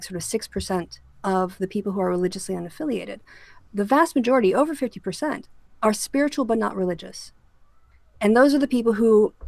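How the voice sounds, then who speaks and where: A woman's voice: medium pitch, darkish tone, no room sound. A woman hosting a casual podcast discussion, speaking articulately and explaining her points with asides. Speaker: show host; political scientist.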